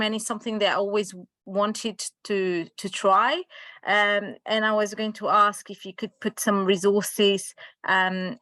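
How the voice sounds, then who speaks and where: A woman from Portugal asking a question on a video call from home at night, friendly and hesitant, with many pauses and "um"s. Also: mid-range voice, a bit bright, no room sound. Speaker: webinar participant asking a question.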